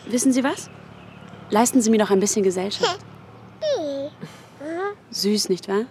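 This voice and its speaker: An actress playing a caring, practical woman who talks in a gently persuasive way in a radio drama. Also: mid-range voice, expressive, measured pace, slight background noise. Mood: friendly. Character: caring, sociable, practical-minded female confidante. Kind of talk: German radio crime drama acting.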